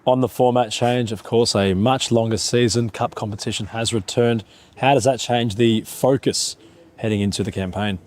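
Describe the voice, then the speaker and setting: A man's voice: medium pitch, steady tone, normal speed, darkish tone. A man hosting sports interviews, asking questions in formal, polished presenter phrasing.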